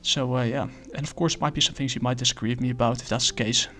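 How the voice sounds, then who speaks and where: A man casually reviewing a comic book, talking in a halting way with many fillers and restarts. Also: mid-range voice, fairly even intonation, pushed and bright, faint music behind.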